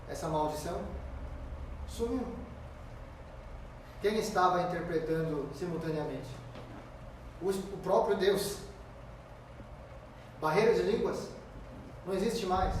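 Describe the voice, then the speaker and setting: Man preaching in an echoey church. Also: fairly high pitch. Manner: emphatic, stretches key words slowly, swings loud and soft. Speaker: pastor. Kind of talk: expository sermon. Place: church sanctuary. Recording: echoey church from far off, noisy.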